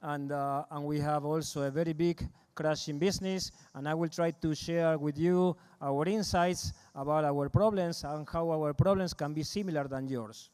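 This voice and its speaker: Argentine man giving a halting conference talk. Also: fairly high pitch, fairly even intonation, darkish tone, clear, resonant tone. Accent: Argentine Spanish. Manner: halting, repeats words, friendly asides. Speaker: conference speaker. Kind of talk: conference presentation. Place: large conference hall.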